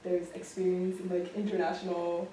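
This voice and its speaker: A young woman with a lowish voice hosts a relaxed campus chat, peppering her questions with fillers and giggles. She sounds a little way off the mic, in a very echoey room with faint background noise. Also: normal speed, recorded from a distance. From grew up in Japan. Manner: chatty, giggly, many fillers. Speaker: host. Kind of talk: casual campus interview.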